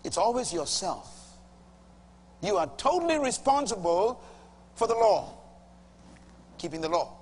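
Bahamian pastor preaching, high voice, booming then dropping low, big reverby church, bit of hum. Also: male voice, sing-song, measured pace, long pauses. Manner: emphatic, swings from loud bursts to low asides. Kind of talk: sermon. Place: large church auditorium with a congregation. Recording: reverberant hall, faint hum.

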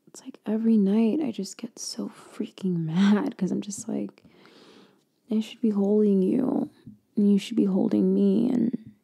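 A woman doing a girlfriend roleplay for ASMR, speaking softly and intimately, slipping in and out of a whisper and often trailing off mid-thought. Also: vocal fry, breathy edge. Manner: soft, intimate, drifting between low voice and whisper; hesitant, trailing off and restarting.

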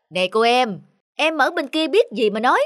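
A man's voice: extremely high pitch, sing-song, a little slow, fairly bright tone, dry recording, clean studio sound, exaggerated voice. A man voicing a smug, boastful shop owner in a cartoon drama, condescending and mocking.

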